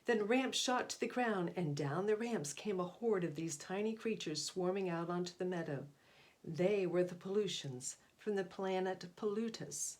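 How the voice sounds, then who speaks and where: A woman's voice: medium pitch, darkish tone. A woman reading a picture book aloud to children, stressing key words expressively and speaking aside to her listeners. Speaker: volunteer picture-book reader.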